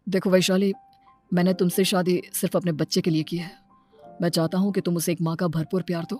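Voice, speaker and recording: A woman's voice: lowish voice, flat delivery, a little fast, darkish tone, soft background music, voice pushed down, close to an everyday voice. A woman voicing an earnest, plain-spoken young father devoted to his children in a cartoon story. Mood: firm.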